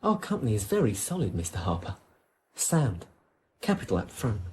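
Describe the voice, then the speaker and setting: A chummy dealer in a radio play, wheedling sales patter, voice goes all over the place. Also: male voice, fairly low pitch, huge pitch swings, moderate pace, darkish tone, a little hiss or hum. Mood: pitching, smug. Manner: ingratiating, chatty, sales patter. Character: wheedling small-time drug dealer, cocky and chummy. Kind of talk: radio crime drama acting.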